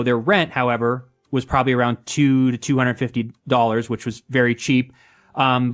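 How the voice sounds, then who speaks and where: A man speaking as an interview guest, explaining conversationally and emphatically, point by point. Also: mid-range voice. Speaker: interview guest, real estate agent.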